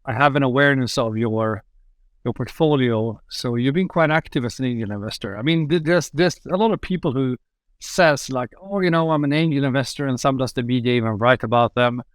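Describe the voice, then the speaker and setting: A man's voice: mid-range voice, a little fast, clean tone, no room sound. A man hosting a podcast, enthusiastic and rambling, with lots of fillers. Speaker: podcast host. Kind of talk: casual podcast interview.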